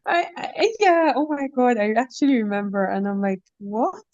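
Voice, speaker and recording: A young Nigerian woman, a podcast guest, telling school stories in casual conversation, animated and quick to laugh, often asking "do you get?". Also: on the high side, dry recording, muffled recording. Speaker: podcast guest, former head girl.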